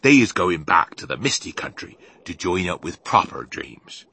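A man's voice: low-pitched, sing-song, moderate pace, bright, forceful tone, slightly rough, no room sound, heavily acted. A man voicing a big friendly giant from a children's storybook, earnest and proud, with folksy mangled grammar and invented words. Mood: earnest, explaining. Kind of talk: children's storybook character acting.

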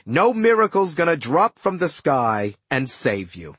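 A man's voice: a little high, very animated, a little slow, fairly bright tone, breathy edge, no echo, exaggerated voice. A man voicing a smug, scheming ghost villain in an audiobook, with breezy, taunting, slangy talk. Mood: mocking.

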